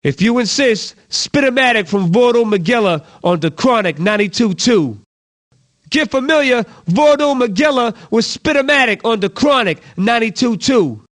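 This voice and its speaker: A man's voice: extremely high pitch, lively intonation, normal speed, fairly bright tone. A man playing a brash, cocky hip-hop radio DJ with punchy, slangy, playful patter. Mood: hyped, cocky.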